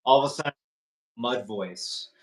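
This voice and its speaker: A man with a low voice chatting casually, hesitant and groping for words. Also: measured pace, echoey room. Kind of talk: casual chat.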